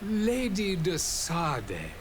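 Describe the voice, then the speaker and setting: A man's voice: high voice, huge pitch swings, drawn-out words, noisy background, exaggerated voice. A man playing a stern yet gracious dignitary in a video game, speaking formally and courteously. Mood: impatient, stern.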